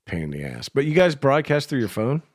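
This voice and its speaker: A man's voice: medium pitch, sing-song, fairly quick, no echo, clean studio sound. A man chatting casually as a radio show host, with an informal, teasing way of talking. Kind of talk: casual chat.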